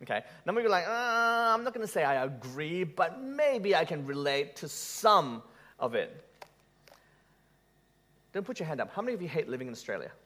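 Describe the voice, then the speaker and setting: A man who grew up in Malaysia delivers a sermon in a church hall, speaking in a fairly high voice. His pitch swings widely as he jokes and throws questions at the congregation. The tone stays conversational rather than formal. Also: big pitch swings, brisk, bright, forceful tone. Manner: conversational, joking, asks the audience questions. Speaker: preacher. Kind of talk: sermon with audience interaction.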